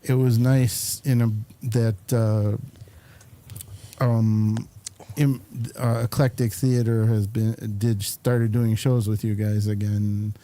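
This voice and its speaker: Mellow, halting man chatting casually. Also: fairly low pitch, level tone. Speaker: talk show host. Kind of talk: casual conversational interview.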